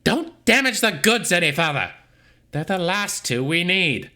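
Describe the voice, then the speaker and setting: A man voicing a stern, impatient female bandit who barks out drawn-out orders in a story reading. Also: high voice, sing-song, bright, forceful tone, pitched above the performer's own voice, heavily acted. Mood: stern, irritated.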